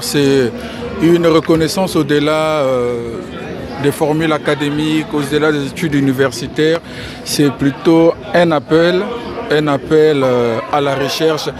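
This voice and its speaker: A man from the Republic of the Congo giving an outdoor press interview, speaking in a measured way and repeating key phrases. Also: fairly high pitch. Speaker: interviewee, financial engineering expert. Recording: crowd noise behind.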